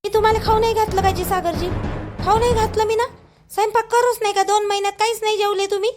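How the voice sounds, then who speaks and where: A woman's voice: very high-pitched, fairly even intonation, quick pace, music in the background. A woman voicing an anxious, aggrieved young village wife in an amateur cartoon, pleading and whining in a Vidarbha Marathi accent. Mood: aggrieved, protesting. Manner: pleading, whining, repetitive protest. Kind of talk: amateur cartoon dialogue in rural dialect.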